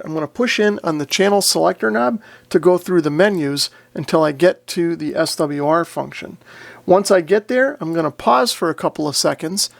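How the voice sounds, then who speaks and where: A man with a slightly high voice and a marked creak walks through a product demo in a casual, methodical way. He explains each step in order, recorded very dry with no room sound. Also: no room sound. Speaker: host of a radio review and demo video. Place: indoor radio shack.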